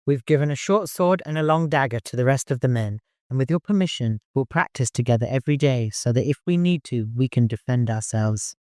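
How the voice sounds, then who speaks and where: A man voicing a dutiful, experienced fighter with a formal, measured manner in an audiobook. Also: medium pitch, lively intonation, darkish tone, no room sound, studio quality, natural voice. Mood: dutiful, serious.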